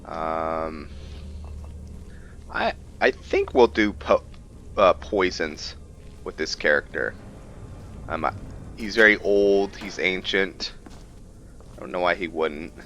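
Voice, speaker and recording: A man's voice: sits low, slow, pauses often, fairly bright tone, muffled recording, a little hiss or hum. A man hosting a gaming video, giving casual let's-play commentary as he plays.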